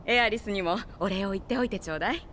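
An actress voicing a friendly, motherly townswoman in a Japanese video game, chatting warmly. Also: mid-range voice, racing, a little hiss or hum, close to an everyday voice. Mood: grateful.

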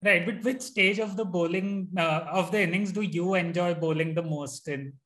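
A man's voice: high-pitched, steady tone, moderate pace, a bit bright. A young man hosting an interview over a video call, asking long prepared questions. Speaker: host. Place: indoor room.

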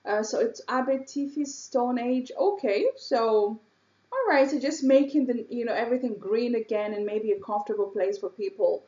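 A woman's voice: fairly high pitch, normal speed, warm tone, highs cut off. Curious woman reacting in an echoey room. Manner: curious, asks viewers questions. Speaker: reaction video host. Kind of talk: reaction commentary.

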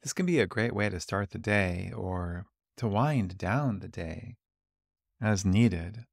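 Slow, low-voiced man guiding meditation. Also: creaky, no room sound, clean studio sound. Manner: gentle, calm, unhurried instruction with long pauses. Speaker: meditation guide. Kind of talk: guided body scan meditation.

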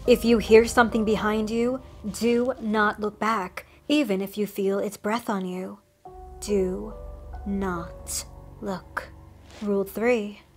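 A woman voicing a friendly male woodland cryptid, an ancient creature with rotting flesh who chats and reassures with wry asides. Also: medium pitch. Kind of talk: scripted storytelling monologue.

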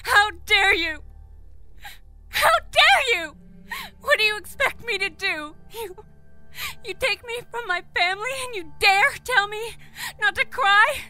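Desperate captive with a bright, swooping voice. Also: female voice, very high voice, lively intonation, unhurried, exaggerated voice. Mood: tearful outrage. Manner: emotional, pleading, defiant. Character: distressed, pleading female captive insisting she is human. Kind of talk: audio drama acting. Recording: music under the voice.

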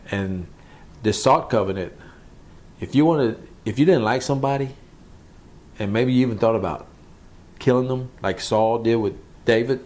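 Man, pastor, slightly low pitch, conversational Bible sermon, repeated phrases for emphasis. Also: a little mellow. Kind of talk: Bible teaching sermon with scripture reading.

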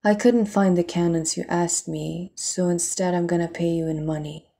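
Cool, sly woman smuggler, played by a woman, gravelly. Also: fairly low pitch, steady tone, moderate pace, mellow. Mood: cool, needling. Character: cool, sly female smuggler trading with pirates. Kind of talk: dramatic audio roleplay acting.

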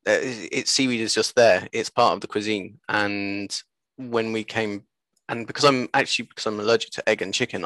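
A man in his thirties talking casually as an interview guest, telling anecdotes in a halting way over a video call on a headset. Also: on the low side, fairly bright tone, no room sound. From born in Japan, later moved away. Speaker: interview guest, seaweed business co-founder. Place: small room at home, video call.

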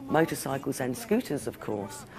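A man's voice: mid-range voice, big pitch swings, moderate pace. A man interviewed as a historian, explaining social change in a lecturing way.

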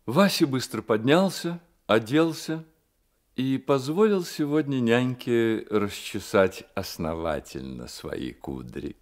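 A man narrating a literary radio drama as an expressive, measured storyteller. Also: medium pitch. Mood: expressive storytelling. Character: storyteller of a boy's secret adventure.

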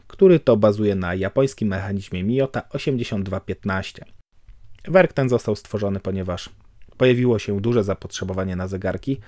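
A man giving a calm, measured voice-over for a watch review, explaining technical details. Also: on the low side, no echo, studio quality.

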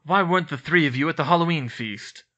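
A man's voice: fairly high pitch, fast, pushed and bright, highs cut off, pitched above the performer's own voice. A man voicing a stern, suspicious male professor who sneers.

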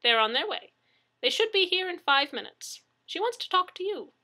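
A woman's voice: very high-pitched, big pitch swings, moderate pace, sharp tone, pitched above the performer's own voice, heavily acted. A woman voicing a kindly, motherly older neighbor woman in an audiobook story, fussing and emphatic. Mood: urgent, insistent.

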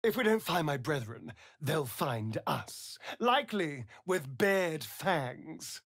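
An elegant vampire rogue for a fantasy game, speaking with a British accent. He drawls unhurriedly, his pitch swooping with mockery and flirtation. The delivery is vain, arch and openly theatrical. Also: very animated, slow pace, a bit bright, no room sound, clean studio sound, heavily acted. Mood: wary.

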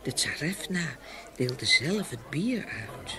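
A woman narrating a scripted fairy tale with expressive storytelling. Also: sits low, slow, crowd noise.

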